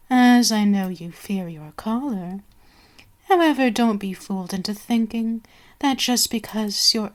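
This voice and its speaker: A woman playing a commanding vampire queen in an ASMR audio roleplay, speaking in a measured, formal way with soft whispery lapses. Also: mid-range voice. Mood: stern, insistent. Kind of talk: slow, breathy ASMR roleplay monologue.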